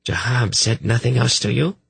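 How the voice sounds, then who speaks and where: A man voicing a cruel, imperious and suspicious high priestess in a fantasy audiobook, with cold, menacing, sarcastic delivery. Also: moderate pace, creaky, a bit bright, slightly rough, no room sound, full character voice. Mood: accusing, sharp. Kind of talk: fantasy audiobook character acting.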